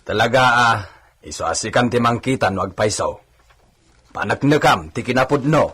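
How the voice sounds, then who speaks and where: Gruff suspicious man, heavily husky, animated intonation, radio drama delivery. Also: mid-range voice, normal speed.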